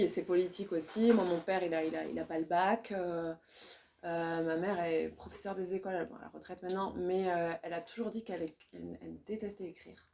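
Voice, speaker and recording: A woman's voice: fairly low pitch, warm tone. A woman, speaking in a casual discussion. She hesitates a lot, restarting phrases as she looks for the right word. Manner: hesitant, searching for words, many restarts. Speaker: poet.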